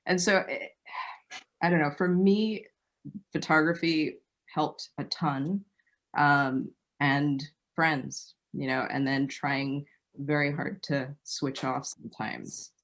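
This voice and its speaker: A woman from Barbados, a guest photographer, talking over a video call in a thoughtful, measured way with some fillers. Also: low-pitched, fairly even intonation, a bit bright. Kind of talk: casual interview chat.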